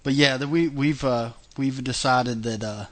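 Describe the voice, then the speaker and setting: A man's voice: mid-range voice, steady tone, unhurried. A man hosting a podcast in casual chat, chatty with many fillers and restarts, laughing often. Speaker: podcast host.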